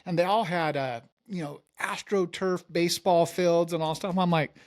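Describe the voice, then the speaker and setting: A man's voice: high voice, fairly even intonation, moderate pace, a little mellow. A middle-aged man from California hosting a casual interview, rambling and conversational with many fillers. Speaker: podcast host.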